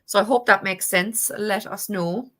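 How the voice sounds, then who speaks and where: Lady doing tarot, bright clear-cut voice, chatty, keeps asking if you know what she means. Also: medium pitch, unhurried. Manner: conversational, seeks agreement with tag questions. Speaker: tarot reader. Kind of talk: casual tarot reading to viewers.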